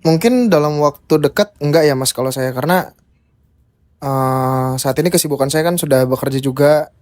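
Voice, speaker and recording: A man in his twenties, a podcast guest, explaining his views in a measured, casual way with examples. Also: a little high, fairly even intonation, darkish tone. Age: 20s. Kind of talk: casual chat. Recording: music playing behind.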